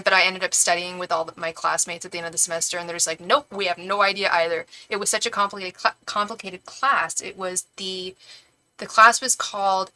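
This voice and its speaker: A woman's voice: on the low side, bright, forceful tone, poor audio. A woman hosting a live tarot stream, talking in a casual, rambling, effusive way as if to a close girlfriend.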